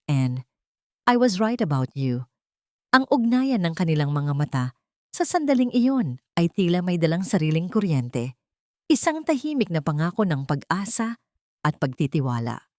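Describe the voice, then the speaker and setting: A woman narrates a dramatic scripted story in a low-pitched voice. The recording is very dry and close, with no room around the words. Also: warm tone, no room sound.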